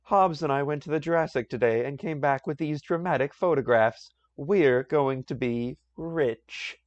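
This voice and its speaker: Guy doing a bratty little cartoon boy, higher than his own deep voice, dry close sound. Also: normal speed, dry recording, muffled recording, close to an everyday voice. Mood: excited. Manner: bratty and excited. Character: smug mischievous young boy from a comic strip.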